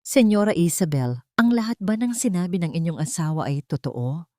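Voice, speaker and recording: A woman voicing a news reporter who asks loud, probing questions. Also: on the low side, lively intonation, normal speed, no echo, studio quality, close to an everyday voice. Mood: pressing.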